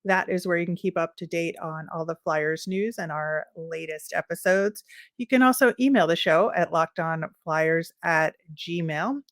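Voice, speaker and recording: A woman's voice: on the low side, dry recording, clean studio sound. A woman co-hosting a sports podcast, talking casually about the game.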